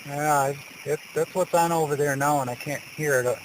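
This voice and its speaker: A man's voice: a little high, fairly even intonation, moderate pace. An American man in casual radio chat as an amateur radio operator, talking outdoors. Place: outdoors at a hilltop campsite. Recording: noisy outdoor camcorder audio.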